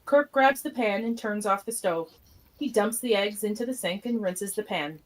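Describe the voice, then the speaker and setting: Woman narrator, middle pitch, scripted stage directions, matter-of-fact, plain delivery. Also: normal speed, rough recording.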